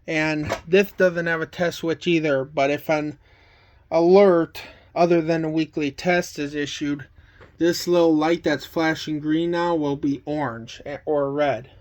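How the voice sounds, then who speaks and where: A man casually showing items from a collection in a plain, halting, item-by-item way. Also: fairly high pitch, steady tone. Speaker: collector showing weather radios. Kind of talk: casual show-and-tell vlog.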